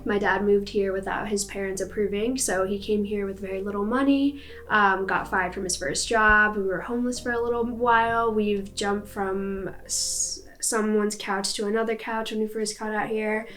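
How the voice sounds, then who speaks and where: A woman's voice: mid-range voice, fairly even intonation, normal speed, a bit bright, soft background music. A young woman with an American accent telling a personal story in a chatty, casual way, with many fillers. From United States.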